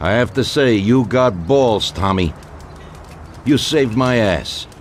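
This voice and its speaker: An actor playing an older American mafia boss, genial but ruthless, talking in colloquial gangster style in a game cutscene. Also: male voice, medium pitch, expressive, slow, a little hiss or hum. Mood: relieved. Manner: conversational, colloquial gangster talk. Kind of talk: video game cutscene acting.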